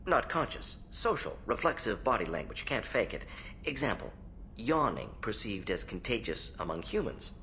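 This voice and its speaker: Clipped, lively alien scientist, low-voiced. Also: male voice, normal speed, slight background noise, full character voice. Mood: analytical. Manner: clipped telegraphic phrases, clinical, omits pronouns. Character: fast-talking, analytical alien scientist, proud and ageing uncle. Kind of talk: video game dialogue acting.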